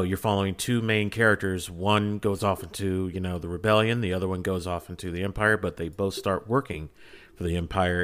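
A man's voice: deep voice, a bit bright. A man in a casual podcast fan discussion, speaking fervently and emphatically as he urges his points on listeners. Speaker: podcast panelist.

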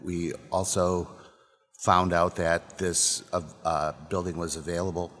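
A man from Illinois, a distillery co-owner, answers questions in a halting way with many ums, trailing off softly. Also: low-pitched, little pitch movement, in a large hall. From Illinois, USA. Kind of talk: casual interview answers. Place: distillery building interior.

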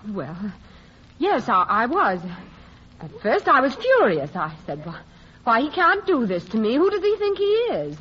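Tender, expressive romantic heroine. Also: female voice, high-pitched, unhurried, slightly rough, full character voice. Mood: playful, rueful. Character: tender, guarded woman reunited with lost love. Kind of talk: classic radio drama acting. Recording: muffled old radio broadcast, music behind.

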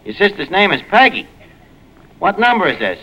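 An actor playing a gruff, dim, wisecracking New York tavern manager in 1940s radio comedy, bumbling and slangy on an old broadcast recording. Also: male voice, high voice, big pitch swings, moderate pace, sharp tone, heavily acted. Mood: hopeful, fumbling. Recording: noisy vintage radio broadcast.

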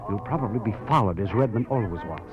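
A man's voice: fairly low pitch, expressive, fast, natural voice. An American actor playing an urgent, persuasive man in a 1940s radio drama, theatrical and emphatic. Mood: urgent, instructing. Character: urgent, persuasive man hiring a private detective. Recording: muffled old radio recording, music behind.

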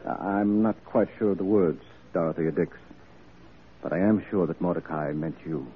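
An actor playing a kindly, devout, thoughtful statesman in an old radio drama, speaking in a measured, reflective manner. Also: low voice, close to an everyday voice. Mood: gentle, reverent. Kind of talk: 1940s radio acting. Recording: muffled vintage radio broadcast recording.

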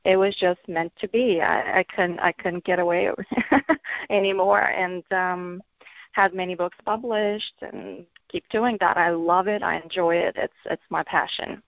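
A middle-aged woman from Bulgaria, a children's author being interviewed, talking in a hesitant way with many ums and soft laughs. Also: lowish voice, fairly even intonation, unhurried, dry recording. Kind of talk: casual interview talk. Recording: dull lo-fi audio.

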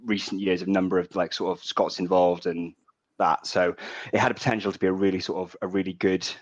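Welsh-born male podcast guest, low voice, hesitant and reflective, dull dry recording. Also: flat delivery, brisk, dry recording. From Wales. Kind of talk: casual podcast chat.

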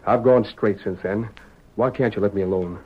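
Defiant reformed outlaw, muffled sound. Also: male voice, on the low side, normal speed, husky. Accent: American Western. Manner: indignant, blunt. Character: reformed outlaw ranch hand, defiant, cornered, hot-tempered. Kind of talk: old-time radio western acting. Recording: dull old radio recording.

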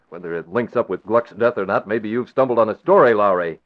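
Man playing a deep-voiced young publisher. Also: a little fast, dry recording, lightly acted. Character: young male publisher, cool and shrewd. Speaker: newspaper publisher. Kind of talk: 1940s radio acting. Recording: thin, dry, phone-like old radio recording.

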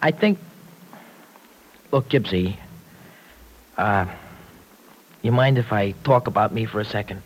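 An actor playing a slangy, brash but soft-hearted young pal in an old-time radio drama, blunt and informal. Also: male voice, on the low side, slow pace, pauses often. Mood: indignant, skeptical. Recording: noisy vintage radio show recording.

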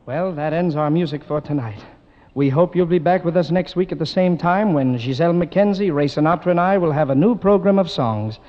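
A man signs off his radio music show in a gentle, courteous manner. His voice sits fairly high and trails into a long echo. The old broadcast sound is dull, with faint music behind. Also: a little fast. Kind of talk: scripted radio sign-off.

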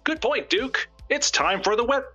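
Man doing a bright, manic, folksy radio host. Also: very high-pitched, lively intonation, moderate pace, slightly rough, highs cut off, soft background music, voice pushed up, heavily acted. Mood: cheerfully macabre. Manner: showman-like, gleefully macabre. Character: folksy, manically cheerful radio personality of a sinister broadcast. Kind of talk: old-time radio host patter read dramatically.

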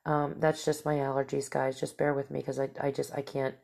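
A woman's voice: very deep voice, flat delivery, brisk, creaky, darkish tone. A woman hosting a talk show, whose stuffy, nasal voice rambles casually through stories and asides. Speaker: podcast host. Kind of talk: casual storytelling monologue.

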